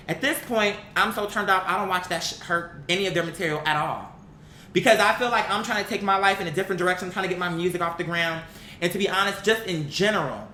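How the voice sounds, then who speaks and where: A young adult commentator giving a blunt, exasperated opinion rant in casual, sweary language, repeating phrases for emphasis. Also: bright, forceful tone. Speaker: online commentator. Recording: echoey room with faint rumbling noise.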